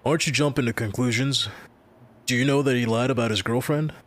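An actor playing a calm, fair-minded middle-aged man who speaks in a measured, reasonable way. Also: mid-range voice, natural voice. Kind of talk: audio drama acting.

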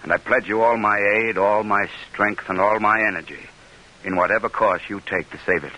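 An actor playing a middle-aged senator, grave, earnest and formal in delivery, in an old-time radio drama. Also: male voice, on the low side, steady tone, moderate pace, bright, forceful tone, rough recording. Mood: solemn, resolute. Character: defeated middle-aged senator, earnest, weary, devoted statesman. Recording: hissy vintage radio broadcast recording.

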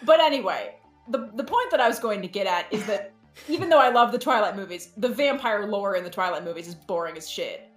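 A woman's voice: mid-range voice, animated, fairly quick, fairly bright tone, echoey room, faint music behind. A woman chatting casually as a podcast guest, enthusiastic and opinionated, with frequent swearing. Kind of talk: casual chat.

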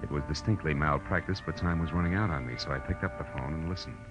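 An actor playing a brash, wisecracking private eye with clipped, sardonic delivery, narrating and acting in 1940s radio noir. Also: male voice, rumbling low, fast talker, lo-fi sound, over music. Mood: wry narrating. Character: brash, wisecracking male hard-boiled private detective. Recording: faintly noisy old radio recording.

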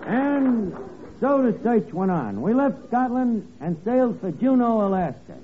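A man's voice: extremely high pitch, very animated, measured pace, heavily acted. A man acting a wisecracking, slangy detective's sidekick who narrates mock-dramatically in a 1950s radio comedy. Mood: mock-dramatic. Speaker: comedy show lead, narrator. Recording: tinny, noisy old radio recording.

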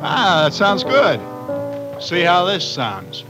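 A man's voice: high voice, huge pitch swings, slow pace, full character voice, rough recording. Jovial young father and brother, teasing banter, old-time radio drama, music prominent behind. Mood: playful teasing. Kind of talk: 1940s radio acting.

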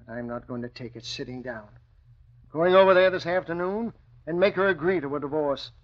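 An actor as a bitter, higher-voiced husband. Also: male voice, fairly quick, close to an everyday voice. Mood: bitter, determined. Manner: emphatic, resentful. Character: bitter, aggrieved middle-aged husband, club gentleman. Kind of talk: vintage radio drama acting. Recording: muffled old radio recording.

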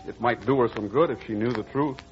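A man's voice: medium pitch, fast talker, natural voice. An actor playing a breezy, impatient young man in old-time radio drama, boyish and slangy. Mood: breezy, eager. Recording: muffled old radio recording, music behind.

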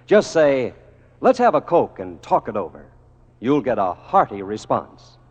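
A man's voice: mid-range voice, sing-song, unhurried, slight background noise. A man announcing a scripted mid-century radio commercial in an upbeat sales manner. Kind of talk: 1940s-50s radio commercial, scripted narration. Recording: dull old radio recording, long reverb.

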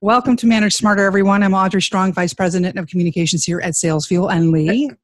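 A woman's voice: medium pitch, fairly even intonation, fast talker, no echo, clean studio sound. A woman co-hosting a podcast interview, introducing the guest in an upbeat, joking way. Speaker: podcast co-host. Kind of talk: casual interview chat.

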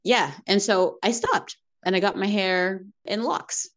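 A woman's voice: mid-range voice, measured pace, a bit bright. A woman, a mother of two from Canada, talking candidly and reflectively about her own life in a conversational interview, laughing now and then. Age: adult, mother of two daughters. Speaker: interview guest. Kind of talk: personal conversational storytelling.

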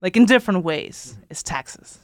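A woman's voice: fairly low pitch, moderate pace, dry recording, clean studio sound. A woman in her thirties talking casually as an interview guest, telling stories by acting out quotes and often saying "like". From Kazakhstan. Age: 30s. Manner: animated storytelling, quotes people in dialogue, frequent "like". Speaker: guest, social media content creator.

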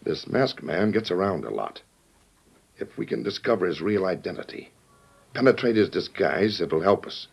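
A man's voice: low-pitched, animated, normal speed, vocal fry, husky, full character voice. An actor playing a cool, scheming traitor in old-time radio, curt and calculating as he commands a henchman. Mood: commanding, calculating. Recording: muffled old radio recording.

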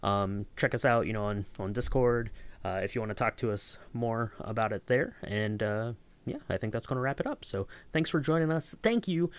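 Male podcast host, medium pitch, affable and loose, wandering conversational delivery. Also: expressive, normal speed, smooth, no echo. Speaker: podcast host and audio editor. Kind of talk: casual podcast chat.

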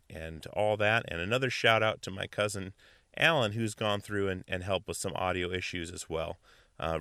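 A man hosting a podcast in a relaxed, casual way, with lots of fillers and restarts. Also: on the low side, clear, resonant tone, dry recording, clean studio sound. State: hoarse after stomach flu. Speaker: podcast host. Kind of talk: casual podcast intro and interview.

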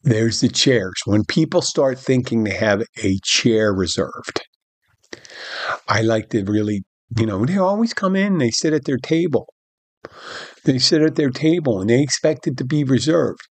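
Husky middle-aged man telling a bar story. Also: mid-range voice, measured pace, no echo. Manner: rambling, animated retelling with quoted dialogue and restarts. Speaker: podcast host and bartender. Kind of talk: casual storytelling monologue.

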